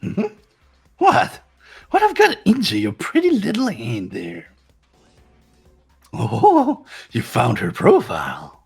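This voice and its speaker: Mocking robot bear villain, childish glee, theatrical, extremely animated pitch, rough-edged tone, video game fan voice acting, music behind. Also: male voice, takes it slowly, long pauses, full character voice. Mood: mocking, gleeful. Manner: theatrical, teasing, sing-song swoops.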